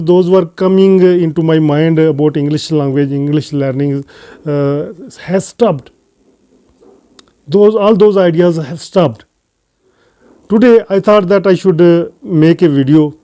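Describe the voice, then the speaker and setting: A man with a Pakistani accent hosting an English-learning channel, talking casually to camera and pausing often on "uh" fillers. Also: fairly high pitch, mellow, clear, resonant tone. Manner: hesitant, frequent "uh" fillers between phrases. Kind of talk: casual unscripted talk to camera.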